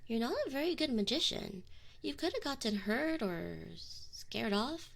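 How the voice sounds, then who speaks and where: A woman's voice: on the high side, lively intonation, gravelly. A woman playing a young tsundere succubus in an intimate ASMR roleplay, prickly and defensive, with affection and neediness showing through. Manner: defensive, teasing, halting when admitting feelings. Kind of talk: scripted ASMR roleplay acting, intimate direct address.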